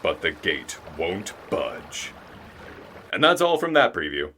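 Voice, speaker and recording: An actor playing a genial, folksy narrator, delivering scripted radio drama narration in a theatrical way. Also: male voice, low voice, huge pitch swings, takes it slowly, sharp tone, slight background noise, exaggerated voice. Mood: storytelling.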